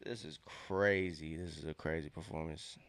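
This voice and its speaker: Stuffy nosed young guy streaming a hoops game, deep voice, kinda gravelly, cursing a lot. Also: fairly even intonation, moderate pace, fairly bright tone, no room sound. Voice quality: nasal, congested. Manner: slangy and profane, repeats himself. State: congested. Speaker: sports watch-along streamer. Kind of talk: casual live reaction to a basketball game.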